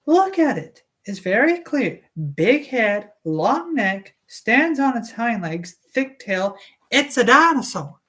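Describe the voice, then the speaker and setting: A woman's voice: a little high, big pitch swings, slow pace, fairly bright tone, pitched above the performer's own voice, heavily acted. A woman voicing a talkative middle-aged female lab technician and mother of two, breezy and dismissive. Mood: insistent.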